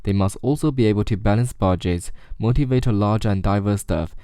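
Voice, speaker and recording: A man reading short study passages aloud in a measured, formal way. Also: fairly low pitch, warm tone, clean tone. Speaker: reader of practice passages. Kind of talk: scripted read-aloud of study texts.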